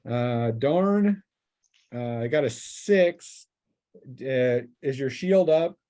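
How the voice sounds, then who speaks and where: A man narrating a tabletop fantasy game as game master, conversational and descriptive, often pausing mid-sentence as he improvises. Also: medium pitch, lively intonation, mellow.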